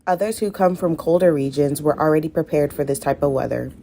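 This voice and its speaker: Woman reporter, very low voice, gravelly, faint clicks. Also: fairly quick, noisy background. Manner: scripted narration. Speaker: news reporter. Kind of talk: news voice-over.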